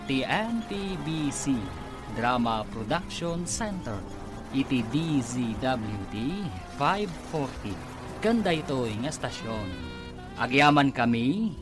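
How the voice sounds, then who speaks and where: Animated male radio drama announcer over music. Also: a little high, takes it slowly. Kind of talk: dramatic scripted radio narration.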